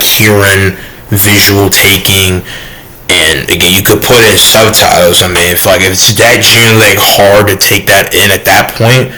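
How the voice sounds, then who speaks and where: A soft-toned young man rambling defensively in a casual vlog rant, full of fillers. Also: deep voice, poor audio. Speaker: YouTuber.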